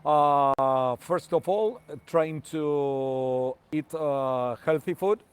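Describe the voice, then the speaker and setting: A man from Argentina whose first language is Spanish, a soccer commentator speaking careful, halting English with many fillers and a Spanish accent as a guest on a remote call. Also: fairly high pitch, clean tone. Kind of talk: casual interview by remote call.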